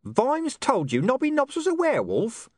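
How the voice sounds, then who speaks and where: A man voicing a scruffy, streetwise male talking dog with a high, brash voice. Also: wildly swooping pitch, normal speed, darkish tone, breathy edge, no echo, studio quality, heavily acted. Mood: incredulous.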